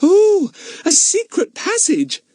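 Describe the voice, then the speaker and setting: An eager, easily thrilled young girl speaks in a high, breathless storybook voice, put on by a man reading aloud. Her pitch leaps around with excitement, and the sound is close and dry. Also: moderate pace, no echo, full character voice. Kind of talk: audiobook character voice.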